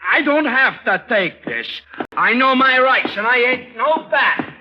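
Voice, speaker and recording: High, whiny, put-upon cop. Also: male voice, expressive, unhurried. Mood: whiny, indignant. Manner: whining, indignant. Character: whiny, put-upon dim police sergeant, easily offended. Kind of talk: comic old-time radio acting. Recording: dull vintage radio recording.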